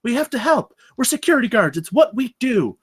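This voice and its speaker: A man's voice: extremely high pitch, big pitch swings, fairly quick, slightly rough, heavily acted. A man reading the part of an earnest, anxious young campus security guard in a live table read. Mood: worried.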